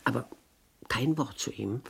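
An actress playing a strong-willed, shrewd seventy-year-old aunt in a 1960s radio play, brisk and admonishing. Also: female voice, very deep voice, creaky, a bit bright, breathy edge, heavily acted. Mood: frank, measured. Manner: brisk, admonishing, matter-of-fact. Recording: 1960s radio recording with background noise.

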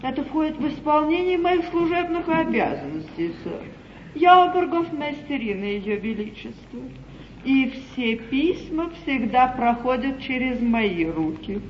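Haughty duchess, echoey stage recording. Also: female voice, sits high, big pitch swings. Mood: cold, haughty. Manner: grand, icy, condescending, deliberate. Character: haughty, imperious English duchess at royal court. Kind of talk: 1950s theatrical stage comedy acting. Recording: muffled, echoey 1953 theatre broadcast, faint noise.

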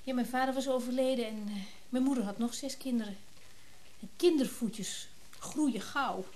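A woman's voice: on the high side, normal speed, a little mellow, a little hiss or hum, natural voice. A woman playing a chatty, candid rich wife with humble roots, often hesitating, in a naturalistic radio drama. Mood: flirtatious, frank. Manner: chatty, hesitant, breaking off mid-thought.

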